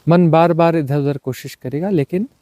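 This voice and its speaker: A man leading a guided meditation as a teacher, speaking in unhurried, short, repeated phrases and mixing in some English words. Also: fairly high pitch, dark tone, no echo. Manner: mixes English words into Hindi.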